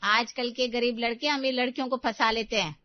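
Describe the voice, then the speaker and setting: A woman's voice: a little high, steady tone, fairly quick, no room sound, highs cut off, natural voice. A woman playing a snobbish, suspicious middle-aged mother with a pointed, gossipy manner in a story. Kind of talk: acted story dialogue.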